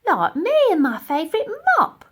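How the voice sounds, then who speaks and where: Daft storybook cleaning lady, acted by a woman reader: high-pitched, chirpy, guileless, very lively. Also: moderate pace, full character voice. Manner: dim, cheerful, guileless.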